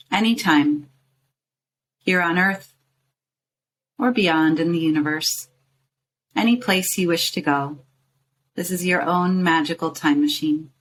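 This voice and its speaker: A woman with a low-pitched voice leads a guided meditation. She speaks soothingly, coaxing the listener along in short phrases with pauses between them. Some background ambient noise sits behind her voice. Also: rough recording. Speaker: meditation guide and show host.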